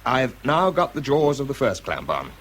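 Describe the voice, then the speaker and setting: An actor with a British accent playing a tense, self-doubting wartime bomb disposal man in a radio drama, shifting between clipped reports and quiet confession. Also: mid-range voice, wildly swooping pitch, moderate pace, slight background noise. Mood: tense, concentrated. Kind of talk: radio drama acting, first-person narration and spoken report.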